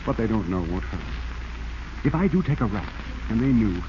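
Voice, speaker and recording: An actor playing a desperate, embittered husband accused of murder, in dramatic 1940s radio style. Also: male voice, fairly low pitch, sing-song, normal speed, dark tone. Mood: grave, resigned. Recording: muffled, noisy 1940s radio transcription.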